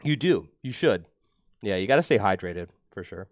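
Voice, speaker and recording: A man's voice: fairly low pitch, animated, normal speed, dry recording, studio quality. A man hosting a stream, talking casually and matter-of-factly while playing a card game. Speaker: streamer host.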